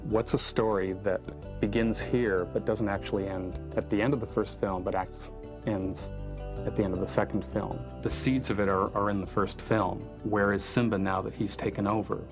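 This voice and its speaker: Guy director in a making-of interview, lowish voice, pretty flat, music behind. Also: normal speed. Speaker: film director. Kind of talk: documentary interview, reflective explanation.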